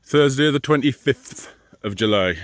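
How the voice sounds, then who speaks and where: Deep-voiced mumbling man. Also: expressive, normal speed, a little hiss or hum. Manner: dry self-mocking asides, rambling with restarts. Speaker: long-distance hiker vlogger. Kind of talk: casual walking vlog. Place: outdoors on a hill trail.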